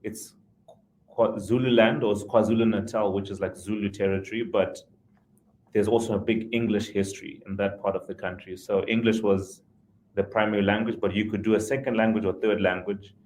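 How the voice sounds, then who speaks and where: Low-voiced man from Zimbabwe, rambling. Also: fairly even intonation, brisk, darkish tone, slight background noise. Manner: rambling, self-correcting, chuckles often. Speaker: podcast guest. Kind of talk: casual conversational interview.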